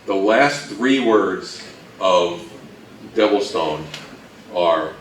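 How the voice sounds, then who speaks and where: A man giving an informal history talk, halting and anecdotal, with dry humour. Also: sits low, animated. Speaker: co-presenter of a talk on old-time radio history. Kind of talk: informal lecture to a live audience. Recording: echoey room from far off, faint noise.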